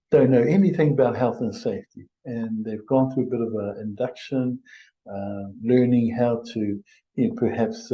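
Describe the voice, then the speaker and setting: A very dark, soft-edged male voice. He presents informally, as in a webinar, pausing often and feeling his way through sentences in a conversational manner. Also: medium pitch, low-quality recording. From Samoa. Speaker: health and safety presenter.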